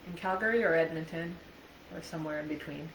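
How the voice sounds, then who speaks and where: A middle-aged woman with a Canadian accent and a slightly low voice talks off the cuff, like a livestream host. She is chatty and wanders from point to point. The room is clearly echoey, with some faint noise behind her. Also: fast talker. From Ontario, Canada. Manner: chatty, laughs readily at herself, digressive. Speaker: host, trauma coach livestreamer. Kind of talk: casual rambling livestream chat.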